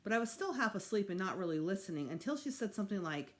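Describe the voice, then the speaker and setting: A woman reading a children's novel aloud as a teacher would to students, in expressive narration. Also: medium pitch, fast talker.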